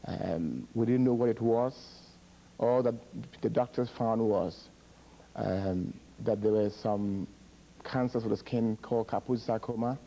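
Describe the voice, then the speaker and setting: A middle-aged man from Ghana, directing a public health project, explaining a disease's history in measured, halting answers with repeated words and fillers. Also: lowish voice, gravelly, mellow, rough recording.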